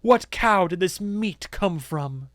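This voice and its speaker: A man's voice: fairly high pitch, unhurried, no echo, studio quality, pitched above the performer's own voice, lightly acted. A man voicing a menacing woman zealot in an audiobook, theatrical and accusing. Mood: accusing, commanding. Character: solemn, menacing woman zealot preaching to followers. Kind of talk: audiobook character acting.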